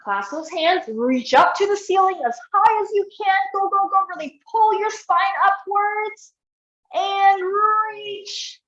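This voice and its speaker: A woman physiotherapist leading an online exercise class, guiding step by step in an encouraging way, her voice a bit croaky. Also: very high voice, a little slow, reverberant, highs cut off. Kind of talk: live online exercise instruction.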